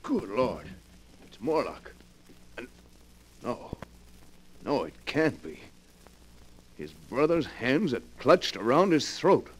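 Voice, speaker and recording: Male police inspector, shocked, slow and dramatic, very animated pitch, 1940s radio drama. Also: a little hiss or hum, rough recording. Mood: aghast. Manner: dramatic, aghast.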